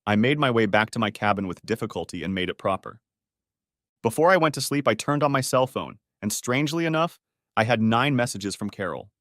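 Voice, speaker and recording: A man's voice: on the low side, expressive, a little fast, fairly bright tone, dry recording, studio quality. A man narrating a first-person story from a script.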